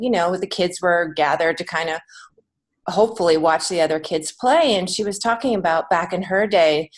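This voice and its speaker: Woman podcast guest, slightly low voice, talks things through earnestly like a teacher. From United States. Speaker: podcast guest, children's author and creative writing teacher. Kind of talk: conversational interview.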